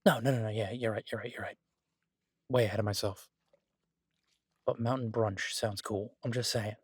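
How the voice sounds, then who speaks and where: A man playing a devoted, easygoing husband who cooks, talking in a casual, halting, reassuring way in an intimate audio roleplay. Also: fairly low pitch, fairly even intonation, breathy edge, dry recording, clean studio sound. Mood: teasing. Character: devoted, easygoing husband and cook, gently teasing. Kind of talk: intimate one-sided audio roleplay, conversational.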